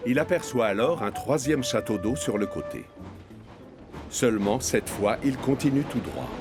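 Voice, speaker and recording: A man's voice: mid-range voice, lively intonation, moderate pace, music in the background. A man narrating a scripted children's cartoon story as the storyteller.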